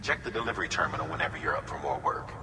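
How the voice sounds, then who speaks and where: A very low male voice rings with long reverb over clear noise. a steady, authoritative commander, measured and businesslike, giving briefings in a game cutscene.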